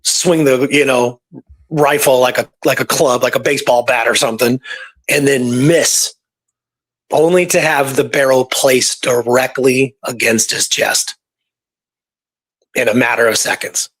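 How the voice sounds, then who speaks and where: A man's voice: medium pitch, fairly even intonation, measured pace, fairly bright tone, poor audio. Male co-host, average register, informal storyteller recounting history, loose conversational phrasing. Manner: conversational, peppered with "you know" and "right". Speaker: radio show co-host. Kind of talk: casual storytelling about Old West history.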